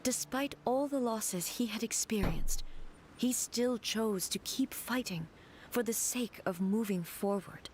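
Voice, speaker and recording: A woman voicing a regal, composed goddess ruler in a video game, speaking in a measured, solemn way. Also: fairly high pitch, noisy background, close to an everyday voice. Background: game music.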